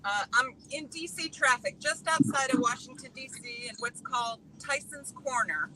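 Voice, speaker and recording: A woman's voice: high voice, very animated, normal speed, pushed and bright, a little hiss or hum, rough recording. A woman joining a live chat while driving, speaking hesitantly with many fillers. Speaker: guest. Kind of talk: casual live-stream chat. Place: in a car in traffic.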